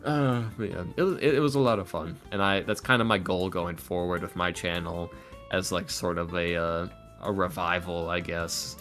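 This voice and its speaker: Chatty low-voiced man, podcast guest. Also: steady tone, normal speed, fairly bright tone, soft background music. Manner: chatty, informal, acts out quotes. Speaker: podcast guest, voice actor and ASMR creator. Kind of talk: casual chat, storytelling.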